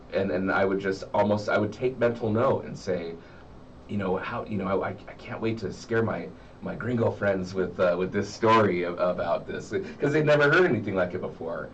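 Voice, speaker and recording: Middle-aged American man, low voice, halting reflective interview talk, muffled distant mic. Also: slight background noise. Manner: halting, repeats words as he searches. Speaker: interview guest, horror story writer.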